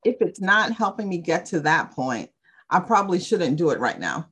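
A woman's voice: lowish voice, very animated, moderate pace, fairly bright tone, slightly rough. A woman hosting an interview, affirming her guest and asking reflective questions. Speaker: podcast host. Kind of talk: interview conversation.

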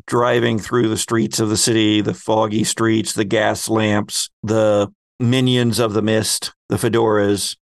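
Low-voiced man narrating a dark story, quick-paced. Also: little pitch movement, gravelly, dry recording. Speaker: game master, host. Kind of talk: dramatic narration of a tabletop roleplaying game.

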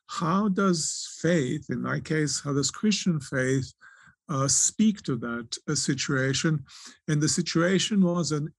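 A man, giving thoughtful, halting answers in an interview, often restarting his phrases as he searches for words. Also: fairly high pitch, creaky, slightly rough, no echo. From Croatia. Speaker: author and theologian, interview guest.

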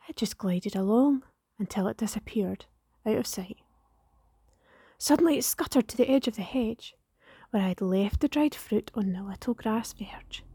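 A woman reading a rhyming poem in a hushed, partly whispered way. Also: mid-range voice, husky, no room sound, clean studio sound. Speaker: library staff member reading a poem. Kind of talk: poetry reading.

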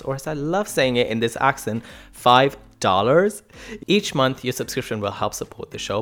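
A man's voice: mid-range voice, a bit bright. A man hosting a podcast, talking informally with a bantering, playful manner. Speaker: podcast host. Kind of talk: casual podcast intro and playful chat.

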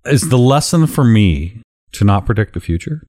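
A man hosting a casual podcast chat, with a deep voice and a joking, blunt way of talking that drops casual swearing. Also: big pitch swings, normal speed, a little mellow. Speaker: podcast host.

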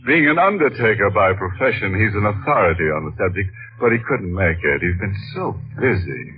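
Deep, ghoulish horror radio host. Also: male voice, sing-song, brisk. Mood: morbid joking. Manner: theatrical, drawn out, darkly mocking. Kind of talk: 1940s radio horror hosting. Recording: dull old radio recording, music behind.

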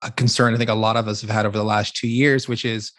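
A man's voice: fairly low pitch, little pitch movement, fast talker, fairly bright tone, dry recording, clean studio sound. A man co-hosting a podcast, asking questions casually and a bit tentatively, rephrasing as he goes. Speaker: podcast host. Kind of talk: casual conversational interviewing.

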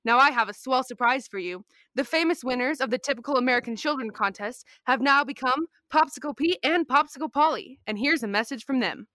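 High-voiced, theatrical radio announcer played by a woman. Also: a little fast, hardly any pauses, pushed and bright, dry recording. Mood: promotional. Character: enthusiastic old-time radio announcer addressing young listeners. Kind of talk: 1930s radio announcing, scripted narration.

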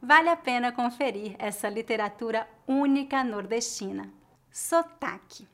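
Brazilian woman teaching, são paulo accent, slightly high voice, calm and clear. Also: normal speed. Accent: São Paulo Portuguese. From Northeast Brazil. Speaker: language teacher. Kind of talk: scripted educational narration.

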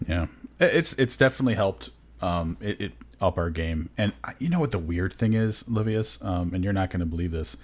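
A man with a low voice talks casually as a podcast co-host. He hesitates often and fills his pauses with ums. His tone stays eager as he describes what he liked. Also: lively intonation, darkish tone. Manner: hesitant, filler-heavy, enthusiastic.

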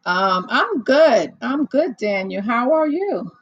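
Slow, gravelly woman, a bit hoarse. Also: fairly high pitch, big pitch swings, dry recording, highs cut off. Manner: rambling, chatty, often laughing, many fillers. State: losing her voice, slightly hoarse. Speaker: livestream host. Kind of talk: casual chat with live viewers.

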